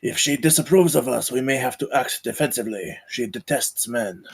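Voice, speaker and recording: A gravelly-voiced adventurer played by a man in a screenplay read. He is wary and formal, with a husky edge and a middling pitch. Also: moderate pace. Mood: guarded, formal. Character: wary, formal male adventurer, urgent under threat. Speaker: table-read actor. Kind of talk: live screenplay table read.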